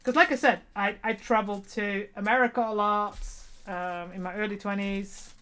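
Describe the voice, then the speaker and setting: A woman's voice: mid-range voice, fairly even intonation, moderate pace, a bit bright. A woman in her forties chatting casually on a live stream while sewing, rambling and laughing at herself. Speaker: cosplay streamer.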